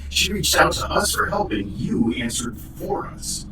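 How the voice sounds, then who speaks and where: A man playing a cold, accusing co-host in an audio drama, calm and menacing. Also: medium pitch, unhurried. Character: cold male co-host turned traitor. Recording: roomy distant noisy audio.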